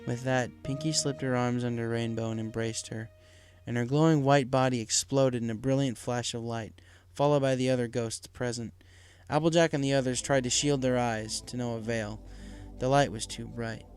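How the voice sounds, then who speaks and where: A male narrator with a medium-pitched voice reads a dramatic story from a script. Music plays clearly behind the narration throughout. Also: steady tone, moderate pace, darkish tone. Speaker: narrator, fan fiction reader.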